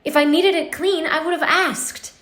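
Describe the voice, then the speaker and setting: A woman's voice: extremely high pitch, steady tone, moderate pace, a bit bright, voice pushed up. A woman voicing a sarcastic, easily irritated female office worker in a story, with a pointed delivery. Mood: annoyed. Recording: roomy, echoing space.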